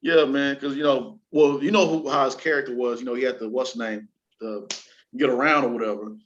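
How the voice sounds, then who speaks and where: A man's voice: medium pitch, fairly even intonation, fairly quick, a bit bright. Guy hosting an entertainment chat show, slangy and joking, kinda dull lo-fi audio. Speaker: talk show host. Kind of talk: casual entertainment news chat.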